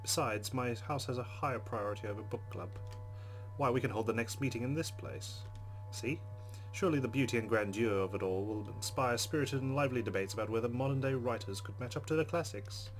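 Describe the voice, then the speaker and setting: A man's voice: mid-range voice, fairly quick, slight background noise, poor audio. A man playing a visual novel, reading its narration aloud and adding casual commentary. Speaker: let's player. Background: faint music.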